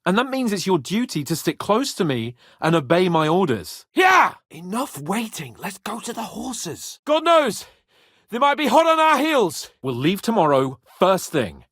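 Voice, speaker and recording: A proud, hot-headed young lord in a medieval video game. His fairly high voice swoops dramatically up and down and has a slightly husky, raspy edge. He speaks imperiously and curses freely, like someone used to being obeyed. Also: very animated, a little slow, a bit bright, no room sound, studio quality, full character voice. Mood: impatient, bossy. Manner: imperious, sweary, theatrical. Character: haughty, hot-tempered young nobleman, foul-mouthed. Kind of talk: medieval role-playing game dialogue.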